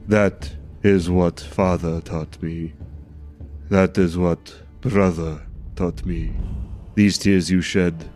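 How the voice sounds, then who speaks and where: A stony, anguished fantasy warrior brother, performed by a male player, very low and dark in tone. The speech is formal and grave, proud and wounded, with faint music underneath. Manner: grave, formal, theatrical speech without contractions. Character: brooding, proud, hardened brother, stoic yet anguished.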